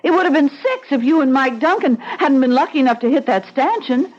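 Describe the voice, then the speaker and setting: An anxious loyal wife. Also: female voice, lively intonation, fairly quick, darkish tone. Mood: worried. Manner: pleading, turning to high screams in panic. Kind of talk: 1950s radio drama acting. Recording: dull old radio recording with hiss.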